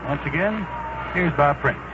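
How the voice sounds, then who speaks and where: A man's voice: a little high, lively intonation, a little slow. A man announcing on a baseball broadcast in a scripted sponsor-read style, over tinny, noisy 1970s radio. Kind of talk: scripted sponsor reads and game handoff.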